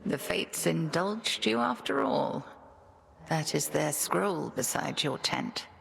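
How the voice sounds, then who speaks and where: A woman playing a stern witch headmistress in a video game, speaking formally and gravely. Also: sits low, animated, takes it slowly, slight background noise, heavily acted, rough recording. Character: stern witch headmistress, cryptic and authoritative mentor.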